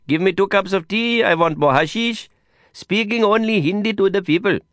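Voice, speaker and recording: A man voicing an eager, excitable Indian guide with an Indian accent and effusive, lilting English, in an audiobook. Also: high-pitched, moderate pace, no room sound, pitched above the performer's own voice. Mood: cheerful, proud. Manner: effusive, lilting non-native English phrasing. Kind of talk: audiobook character voice.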